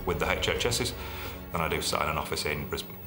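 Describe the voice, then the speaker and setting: A man's voice: a bass voice, lively intonation, quick pace. A middle-aged man with a Yorkshire accent, a healthcare executive giving frank, reflective answers in an interview. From Yorkshire, England. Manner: frank, plain-spoken, reflective. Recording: roomy room with music underneath.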